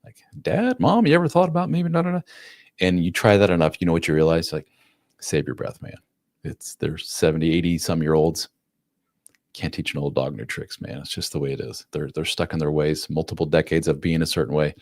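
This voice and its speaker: A man in his forties with a very low, deep voice hosts a live chat, reading viewer comments aloud and answering them frankly. He talks casually and uses plenty of slang. The sound is dry and close, with no room echo. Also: fairly even intonation, a little fast, darkish tone. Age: 40s. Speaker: host and coach.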